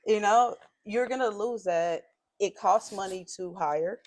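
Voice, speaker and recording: A woman's voice: mid-range voice, measured pace. A woman speaking in a strong voice, making her points assertively in a podcast conversation. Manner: assertive, emphatic, builds points in lists.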